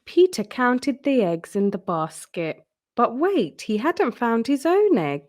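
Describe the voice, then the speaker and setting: Slow, very animated woman storyteller. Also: a little high. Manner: expressive, deliberate storytelling. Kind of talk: children's storybook read-aloud.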